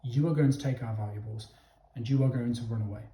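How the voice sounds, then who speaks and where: A man's voice: mid-range voice, fast, dark tone. A young man giving a casual opinion monologue to his viewers, blunt, emphatic and sweary, often checking in with "okay?". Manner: addresses listeners directly, with repeated "okay?" checks. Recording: echoey, roomy sound.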